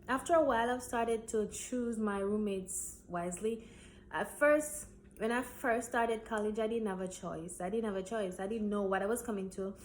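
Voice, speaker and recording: A young woman from Jamaica chats through a storytime at a middle pitch, rambling and restarting with frequent ums. A faint hiss sits under her voice. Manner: rambling with many ums and repeats. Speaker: vlogger. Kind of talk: casual storytime chat.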